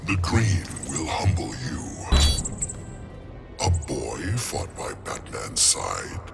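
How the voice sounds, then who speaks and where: A man voicing a hulking, ancient plant monster in a fighting game, a solemn guardian of nature who speaks gravely and imperiously in a rumbling, gravelly voice. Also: huge pitch swings, slow pace, heavily acted. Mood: stern, menacing. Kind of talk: fighting game character dialogue. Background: loud music.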